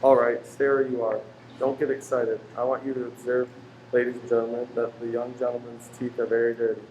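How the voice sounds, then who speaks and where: A man's voice: medium pitch, flat delivery, fairly quick, a little mellow, close to an everyday voice. A man playing a pushy street salesman in a radio play, delivering declamatory, bossy sales patter. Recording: echoey room with faint noise.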